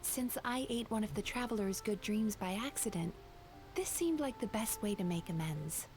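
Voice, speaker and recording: A woman's voice: medium pitch, lively intonation, moderate pace, a little mellow, natural voice. A woman voicing a composed, gracious young spirit innkeeper in a video game, polite and poised.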